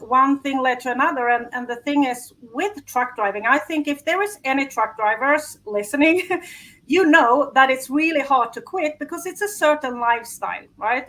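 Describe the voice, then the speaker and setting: A woman's voice: sits high, a bit bright, rough recording. A woman from Sweden with a Swedish accent, talking casually as a podcast guest about her work and her story, laughing now and then. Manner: chatty, laughs while telling her story. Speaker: guest, business strategist. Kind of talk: casual interview talk.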